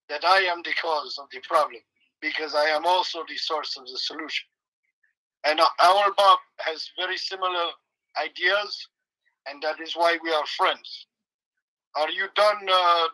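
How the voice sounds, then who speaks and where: A man speaking in halting, broken English with deadpan humor, rambling as a guest co-host and then guiding a slow meditation. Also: a little high, low-quality recording. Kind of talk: rambling comic chat turning to guided meditation.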